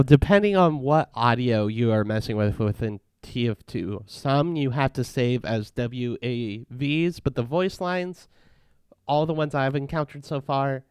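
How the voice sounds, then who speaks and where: A man gives a casual, step-by-step software walkthrough in a smooth, resonant voice. The sound is dry and close, with no room around it. He keeps a relaxed, instructive tone. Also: mid-range voice, dry recording. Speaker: tutorial host.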